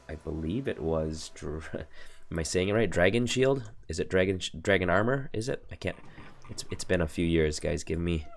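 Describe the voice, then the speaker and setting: A man's voice: sits low, creaky, a little hiss or hum. An American man in his thirties, stuffy from allergies, rambling through a casual product review on a home stream with lots of fillers and self-corrections. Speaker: streamer reviewing card game accessories. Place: home desk room.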